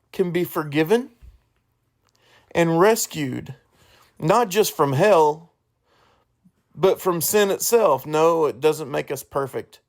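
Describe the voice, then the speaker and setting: A man hosting a solo podcast in an informal, earnest way, halting and correcting himself as he goes. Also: high voice. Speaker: podcast host.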